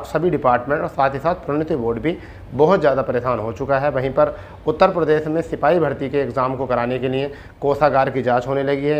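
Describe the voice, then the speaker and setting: A man's voice: medium pitch, dull audio, slight background noise. Man explaining an update. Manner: repeats points for emphasis. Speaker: exam-update video presenter. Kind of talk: informal explanatory update to camera. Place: small plain room indoors. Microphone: clip-on lapel mic.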